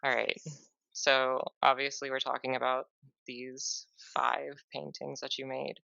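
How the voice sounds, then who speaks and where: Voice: mid-range voice, flat delivery, measured pace, creaky, sharp tone, no echo, lo-fi sound. An interviewer asking questions hesitantly with many fillers.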